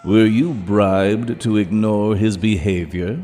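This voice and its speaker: An actor playing a stern, menacing ruler of Hell in an audio drama, speaking in a measured, commanding and pompous way. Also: male voice, on the low side, fairly even intonation, mellow, a little hiss or hum. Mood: suspicious, threatening. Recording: long hall reverb.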